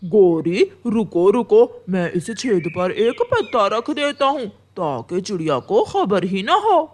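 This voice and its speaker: A woman's voice: medium pitch, very animated, takes it slowly, a little hiss or hum. A woman voicing a scheming, confident male crow in a crafty, assertive way for a cartoon story. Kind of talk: animated children's story dialogue.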